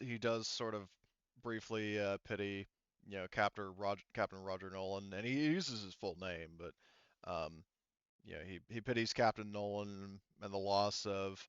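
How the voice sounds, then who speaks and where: A man game-mastering a tabletop game, explaining things in a halting, rambling, casual way. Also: fairly low pitch, clean tone, dry recording, highs cut off.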